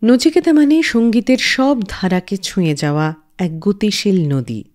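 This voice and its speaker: Casting: female radio host, animated pitch, poetic scripted delivery introducing music. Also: measured pace. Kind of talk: scripted music-show narration.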